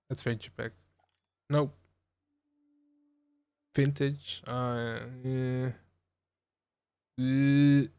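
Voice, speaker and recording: A young man from the Netherlands hosting a relaxed game livestream, rambling with lots of fillers and asides to his chat. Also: fairly high pitch, clean tone. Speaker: livestream host. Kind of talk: casual live-stream chat while playing a game.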